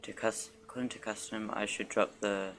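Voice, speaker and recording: Casual male streamer: very even pitch, vocal fry, offhand reactions over loud game music. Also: moderate pace, a little hiss or hum. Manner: flat, offhand. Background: video game music and dialogue.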